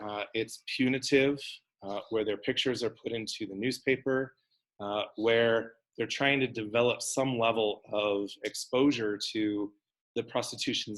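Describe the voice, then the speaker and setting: A man with a somewhat low, hoarse voice, recovering from having lost it, addresses a small room and an online audience. He speaks in a halting way, full of fillers and restarts, with a sound. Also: fairly bright tone, rough recording. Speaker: counselor leading a talk.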